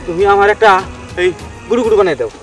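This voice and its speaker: A man's voice: high-pitched, expressive, rapid-fire, soft background music. A man voicing a weary old cartoon man who whines, complains and pleads. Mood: pleading, weary. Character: whining, weary old man in commando training. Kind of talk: Bengali cartoon voice acting.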